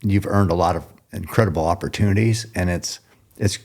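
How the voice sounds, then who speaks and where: A man's voice: low-pitched, fairly quick, darkish tone. A middle-aged man hosting a podcast interview, talking in a loose, rambling way, often restarting his sentences and laughing. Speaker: podcast host. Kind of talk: casual interview chat.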